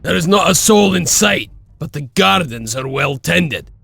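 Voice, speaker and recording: Boisterous wolf-clan fighter: heavy rasp, high pitch, dry sound, rough and excitable game character. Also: male voice, a little slow, no echo, soft background music, full character voice. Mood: uneasy. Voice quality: raspy, gravelly. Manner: boisterous, theatrical. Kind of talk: dramatic video game acting.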